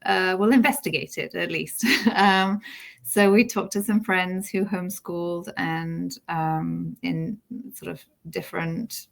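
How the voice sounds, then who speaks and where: British mum-aged woman on a podcast, bit low voice, chatting thru her own story, informal. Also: steady tone, slow pace, vocal fry. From United Kingdom. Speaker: podcast guest. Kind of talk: conversational personal storytelling.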